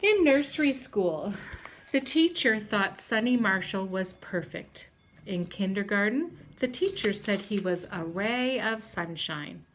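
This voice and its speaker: A woman reads a children's story aloud with the deliberate, expressive delivery of a teacher. Her pitch sits in an ordinary middle range for a woman. There is faint background noise in the recording. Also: low-quality recording.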